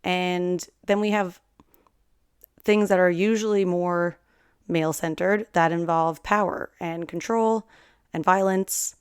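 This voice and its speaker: A woman in her twenties, slightly hoarse, hosting a solo podcast in a chatty, rambling, big-sister way. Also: fairly low pitch, fairly even intonation, takes it slowly, no room sound, studio quality. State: slightly hoarse from singing at a concert. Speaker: podcast host. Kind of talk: casual solo podcast chat.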